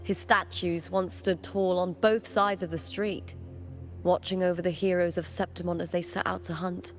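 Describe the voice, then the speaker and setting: A woman's voice: on the low side, little pitch movement, normal speed, lightly acted. A woman playing a confident, reflective young female gladiator in a video game, delivering scripted dialogue. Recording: game audio under loud music.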